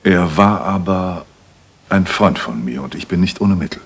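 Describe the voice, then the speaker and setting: An actor playing a courteous, frank widowed gentleman landowner in a German radio play, speaking in a measured, matter-of-fact way. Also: low voice, animated, breathy edge, full character voice. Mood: regretful, courteous.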